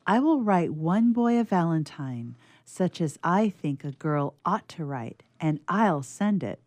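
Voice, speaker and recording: Woman playing an earnest schoolgirl, low mellow voice. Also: very animated, measured pace, no echo, studio quality. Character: earnest, thoughtful teenage schoolgirl and her teasing, gentle friends. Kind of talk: stage-like radio drama reading.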